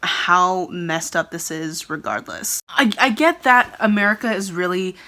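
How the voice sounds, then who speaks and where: A woman's voice: fairly low pitch, lively intonation, measured pace, pushed and bright. A young woman from California, raised speaking Tagalog first, giving earnest and rambling commentary to her vlog camera. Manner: earnest, rambling, often laughing and restarting. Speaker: vlogger. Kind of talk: casual talking-to-camera commentary.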